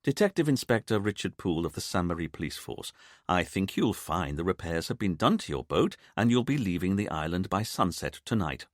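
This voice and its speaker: A man's voice: sits low, very animated, fairly quick, dry recording, clean studio sound. A man voicing an uptight, fussy police detective who speaks in a clipped, exasperated way. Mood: stern.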